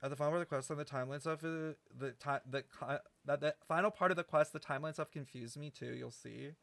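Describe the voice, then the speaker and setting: A man's voice: on the high side, fast talker, vocal fry, a bit bright, no room sound. A man giving casual game stream commentary, rambling as he thinks aloud and swears freely.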